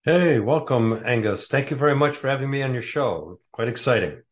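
A man's voice: medium pitch, expressive, a little fast. A man in his sixties from the Detroit area, a guest author answering interview questions casually and hesitantly, with many fillers. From Metro Detroit area, USA.

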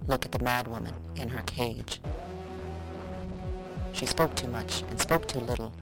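A woman voicing the spirit of a confined mad woman from the past, speaking in a measured, flat, incantatory way over loud music. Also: a bass voice, creaky, heavily acted, low-quality recording. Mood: bitter. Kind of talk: audio drama.

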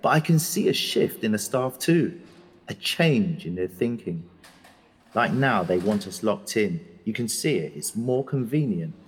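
A man's voice: lowish voice, lively intonation, a little slow, husky, in a large hall. An actor playing a frustrated, outspoken male prisoner in an English audio drama, speaking bitterly and urgently.

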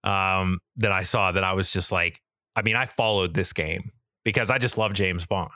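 Bright, rambling American man, close and dry. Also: deep voice, normal speed, no room sound. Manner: enthusiastic, rambling, profane. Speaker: podcast co-host. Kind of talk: casual chat.

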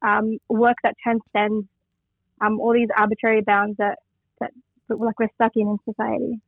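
A woman's voice: fairly high pitch, flat delivery, moderate pace, creaky, husky, dry recording. A young woman from Western Sydney, a poet speaking as a guest over the phone, enthusiastic and reflective. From Western Sydney, Australia. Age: young adult. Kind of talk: phone interview. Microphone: phone line. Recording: tinny dry phone line.

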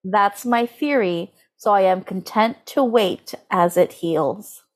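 Woman cohosting a comedy chat podcast, average pitch, very informal, kinda incredulous, says like a lot. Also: takes it slowly. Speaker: podcast co-host. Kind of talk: casual comedic chat with dramatic reading aloud.

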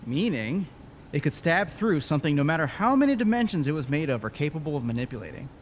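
Earnest, awkward inventor scientist. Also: male voice, a little high, big pitch swings, fast, slight background noise. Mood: eager, insistent. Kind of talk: sci-fi audio drama acting.

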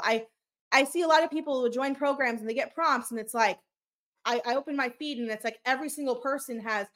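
A woman with a fairly high voice talks straight to her listeners in a solo podcast episode. She coaches with energy, firing off rhetorical questions and checking in with a quick "okay?". Now and then she stumbles on a word with a slight stutter. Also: fairly bright tone. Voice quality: high-pitched voice. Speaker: podcast host and business coach. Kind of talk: motivational solo podcast talk.

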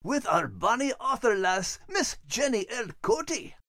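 Cartoon Scottish terrier: friendly, dim, folksy, very animated pitch, dry close sound. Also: male voice, unhurried, no room sound, heavily acted. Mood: cheerful. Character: friendly, none-too-bright male Scottish terrier dog.

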